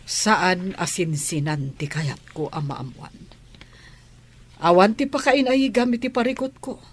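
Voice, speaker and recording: A woman's voice: lowish voice, a little slow, a little hiss or hum, close to an everyday voice. A woman acting a kindly, troubled lady of the house, weary and sighing in a radio drama.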